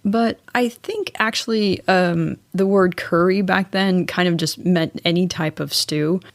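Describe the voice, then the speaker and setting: A woman's voice: fairly low pitch, measured pace, no echo. An American woman hosting a solo podcast, narrating in a chatty, scripted style with wry, informal asides. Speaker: podcast host.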